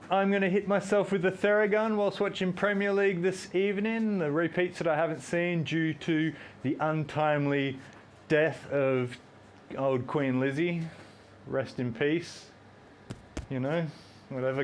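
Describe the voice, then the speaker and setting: A man's voice: medium pitch, clear, resonant tone. A man, hosting a fitness live stream, rambling and joking while he does squats and counts reps aloud. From Australia. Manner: talks while doing squats.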